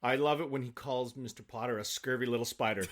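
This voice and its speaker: A man speaking as an interview guest in casual, enthusiastic conversation, telling stories in an animated way. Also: medium pitch, fast talker.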